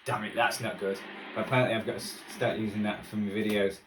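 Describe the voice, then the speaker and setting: A man's voice: fairly low pitch, little pitch movement, fast talker, noisy background. A man chatting casually through a rambling hobby vlog, self-deprecating, with muttered asides. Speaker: radio hobbyist presenter. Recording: distant mic, radio playing behind.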